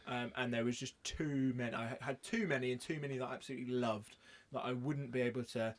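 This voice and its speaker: A male podcast co-host chats casually about films in a rambling way, often breaking into laughter. His voice sits at a medium pitch. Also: steady tone, fast, a little hiss or hum. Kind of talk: casual chat.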